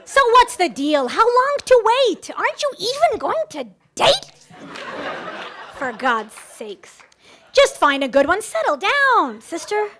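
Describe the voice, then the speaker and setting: A woman's voice: extremely high pitch, sing-song, takes it slowly, fairly bright tone. A woman giving a wedding speech to guests, partly reading a rhyming storybook in a playful, theatrical way. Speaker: wedding speech giver, friend of the bride. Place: live in front of wedding guests.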